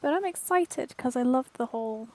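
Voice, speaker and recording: A woman's voice: high voice, lively intonation, normal speed. A woman streaming a video game, chatting informally and thinking aloud as she plays. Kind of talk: casual gameplay commentary.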